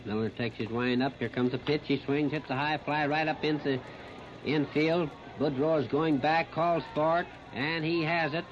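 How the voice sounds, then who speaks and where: A man's voice: on the high side, steady tone, normal speed. An American man calling baseball play-by-play on 1930s radio, plain-spoken and folksy, in short phrases. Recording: muffled, noisy 1930s radio disc recording.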